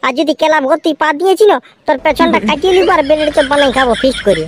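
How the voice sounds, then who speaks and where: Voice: high-pitched, very fast, no room sound, close to an everyday voice. An actor playing a bossy, teasing village club ringleader in a comic cartoon, pushy and mock-threatening, with a rural Purulia Bengali accent. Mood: demanding.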